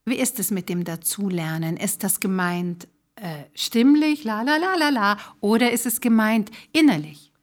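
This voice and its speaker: A woman's voice: medium pitch, moderate pace. A woman hosting a conversational interview in an effusive manner. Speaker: podcast host. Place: small theatre, live recording.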